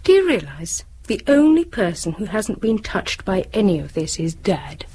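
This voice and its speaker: A woman's voice: mid-range voice, big pitch swings, moderate pace, warm tone, breathy edge. A woman with a British accent playing a caring but embittered grown daughter in a radio drama. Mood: weary, bitter. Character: grown daughter, caring toward mother, bitter about father.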